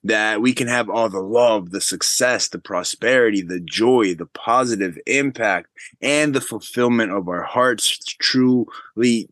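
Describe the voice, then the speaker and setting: A man hosting an interview podcast, earnest and encouraging, often giving soft murmured agreement. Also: fairly low pitch, unhurried, no room sound. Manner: earnest, affirming with soft "mm" cues. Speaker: podcast host.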